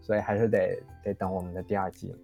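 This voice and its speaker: Hesitant man chatting, soft music behind. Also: low-pitched, flat delivery, fast, vocal fry. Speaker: voice actor guest. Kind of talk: casual group chat.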